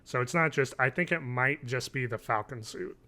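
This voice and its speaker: Male co-host, thirties, medium pitch, jokey and sweary movie-podcast banter. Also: normal speed, bright, forceful tone. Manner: joking, swears casually. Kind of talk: casual film and TV chat. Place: small home room, remote call.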